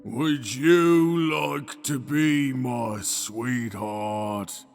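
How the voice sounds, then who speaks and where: Giant lovesick creature, gravelly, very slow, theatrical, fantasy audio drama, faint music behind. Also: male voice, medium pitch, fairly even intonation. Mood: menacing courting. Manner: theatrical, slow, drawn-out delivery. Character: monstrous eight to ten foot male creature seeking a sweetheart.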